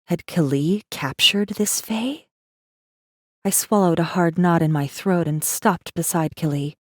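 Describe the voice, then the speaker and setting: A woman playing a guarded, secretive rebel messenger who narrates an audiobook in an intense, measured first-person style. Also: fairly low pitch, no room sound, clean studio sound. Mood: haunted.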